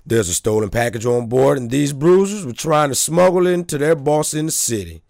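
A man doing a deep-voiced wolf-man mercenary. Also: gravelly, no echo, studio quality. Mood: commanding. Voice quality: deep, serious voice. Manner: steady, threatening, measured. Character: tough male wolf-man mercenary, calm and commanding. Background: background music.

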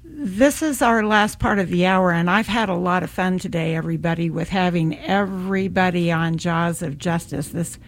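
A woman's voice: on the low side, steady tone, moderate pace, few pauses. An American woman hosting a community radio fundraiser, thanking donors and reading out the pledge number. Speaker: radio host.

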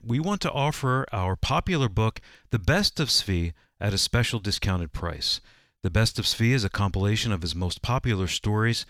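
A man's voice: on the low side, a bit bright, dry recording. A man reading a scripted promotional announcement for a radio program in a studio.

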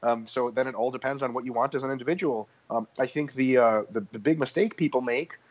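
A man's voice: mid-range voice, fairly even intonation, quick pace, highs cut off. Man explaining training, full of ums. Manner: explanatory, many ums and restarts. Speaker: guest expert. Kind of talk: casual podcast discussion.

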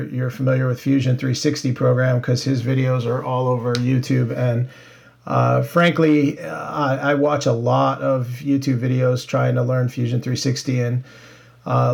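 A male podcast host chats with his guest in a friendly, halting way, full of "uh"s and restarts. His voice is gravelly and fairly flat in pitch. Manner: friendly, halting with many fillers. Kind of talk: casual interview.

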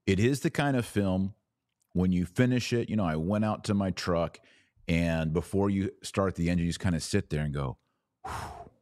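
A man with a very low voice reviews a film on his podcast in an earnest monologue. He leans hard on the words he wants to stress. The sound is dry and close to the mic. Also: normal speed, a little mellow, dry recording. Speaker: podcast host.

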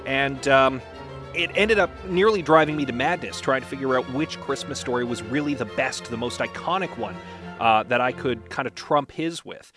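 A man hosting a podcast, narrating in a wry, deliberate storytelling way. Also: mid-range voice, over music. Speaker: podcast host.

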